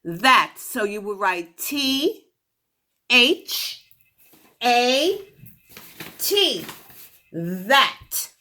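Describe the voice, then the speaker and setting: A woman teaching a phonics lesson to young children, giving deliberate instructions and sounding out words. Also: fairly high pitch, sing-song, sharp tone.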